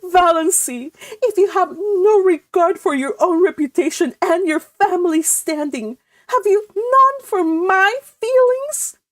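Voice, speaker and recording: A fussy middle-aged mother wails through her lines in a piercing, very high voice that leaps up and down, sobbing with indignation, as a woman reads her out. Her complaints come out slow and drawn, in a dry, close sound. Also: lively intonation, dry recording. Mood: indignant.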